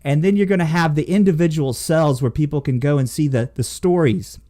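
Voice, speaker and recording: Mellow man, rambling and sweary. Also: fairly high pitch, fairly quick. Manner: profane, rambling, emphatic. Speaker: podcast co-host. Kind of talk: casual chat.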